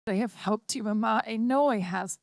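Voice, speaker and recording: A woman voicing a six-year-old android girl who speaks gently and earnestly, as an audiobook reader puts her on. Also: on the high side, moderate pace, no echo, clean studio sound, pitched above the performer's own voice, lightly acted. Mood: pleading. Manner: gentle, pleading.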